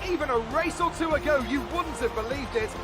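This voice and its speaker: An excited motorsport commentator calls the race at a very high pitch. His delivery is dramatic and urgent, with loud music and engines behind him. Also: fairly quick. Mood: triumphant. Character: excited male Formula One race commentator. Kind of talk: live motorsport television commentary.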